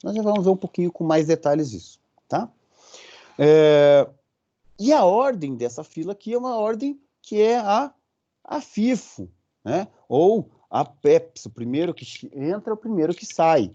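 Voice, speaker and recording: Lively Brazilian man lecturing. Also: sits high, highs cut off. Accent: Brazilian Portuguese. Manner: explanatory, often checking in with a quick "right?" and filler sounds. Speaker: university lecturer. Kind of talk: recorded online lecture.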